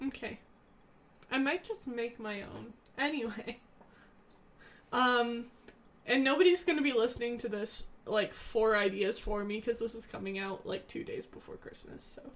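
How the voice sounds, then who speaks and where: A woman's voice: a little high, moderate pace. Easygoing young woman, slightly scratchy. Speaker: podcast co-host. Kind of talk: casual chat. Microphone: remote call, old headphones.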